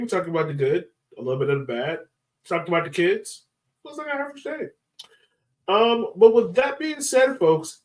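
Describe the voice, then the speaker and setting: A man's voice: sits high, rough recording. A man hosting a casual sports podcast, talking in a chatty, informal way.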